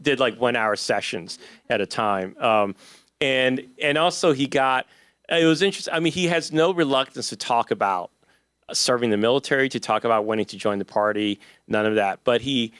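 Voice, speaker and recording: A man's voice: lowish voice, a bit bright, no echo, studio quality. A man, an author, answering audience questions at a book talk in a loose, conversational way with many fillers and restarts. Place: event hall.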